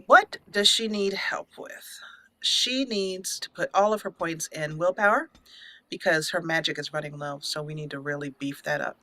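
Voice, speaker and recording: A woman's voice: low voice, normal speed, fairly bright tone, dry recording. A woman hosting a casual let's play, chatting playfully with mock indignation and mild joke swearing. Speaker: gaming channel host.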